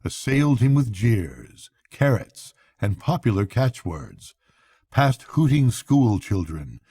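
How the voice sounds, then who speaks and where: An older man narrating a bedtime story aloud as a storyteller, with measured, dramatic phrasing. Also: mid-range voice, big pitch swings, warm tone, husky, no room sound. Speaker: storyteller, grandfather reading aloud.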